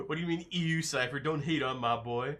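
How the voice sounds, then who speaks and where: A man's voice: fairly high pitch, moderate pace, a bit bright. A man streaming live, casually reading and answering his chat with loose, joking replies.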